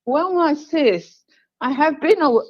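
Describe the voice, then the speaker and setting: A woman guest poet speaking gently and reflectively about stillness and creativity. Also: sits high, dull audio. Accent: British. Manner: slow, calm, gentle, with fillers. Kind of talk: reflective spiritual talk.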